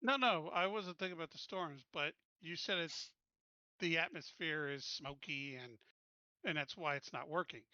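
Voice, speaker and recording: A man's voice: fairly high pitch, moderate pace, pushed and bright, dry recording, muffled recording. A man playing a tabletop game, earnestly arguing his point to the game master. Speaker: player. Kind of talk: casual tabletop game talk.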